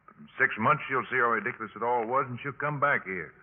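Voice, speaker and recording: A man's voice: mid-range voice, quick pace, big-room sound, natural voice. An actor playing a blunt, wry but reassuring young American man at college in 1950s radio drama style. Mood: firm, teasing. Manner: matter-of-fact, teasing. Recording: muffled, tinny 1950s radio recording.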